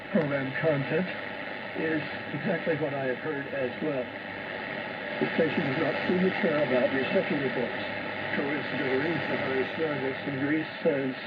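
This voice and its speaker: A man hosting a shortwave radio programme, reading a scripted news bulletin in a deliberate way. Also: mid-range voice. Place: heard over a shortwave receiver. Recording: noisy muffled shortwave radio reception with static.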